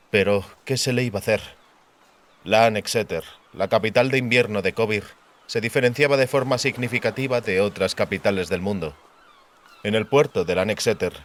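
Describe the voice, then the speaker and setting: A man reading a fantasy audiobook as narrator, in a measured, literary storytelling manner. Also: on the low side, a little hiss or hum. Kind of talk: dramatized scripted fantasy narration. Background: faint music.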